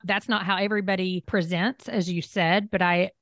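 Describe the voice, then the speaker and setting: A woman's voice: fairly low pitch, steady tone, normal speed, a bit bright, no room sound, clean studio sound. A woman hosting a conversational interview, talking in an open, affirming way. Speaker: host.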